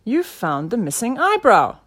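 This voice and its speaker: A woman's voice: high-pitched, sing-song, moderate pace, voice pushed up. A woman voicing a loyal, tactful male sidekick to a detective in a children's story, polite and confident. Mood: tactful, eager.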